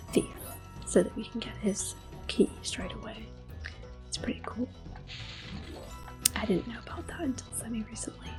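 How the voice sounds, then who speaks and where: A woman doing ASMR gameplay commentary in a soft, breathy, gentle voice, mostly whispered. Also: a little high. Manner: gentle, unhurried. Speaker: game streamer.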